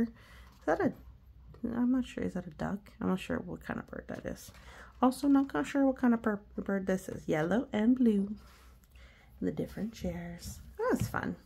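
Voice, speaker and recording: Reassuring woman with a creaky, husky voice. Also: medium pitch, mellow. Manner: reassuring, explanatory. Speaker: storybook reader. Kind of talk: read-aloud commentary for young children.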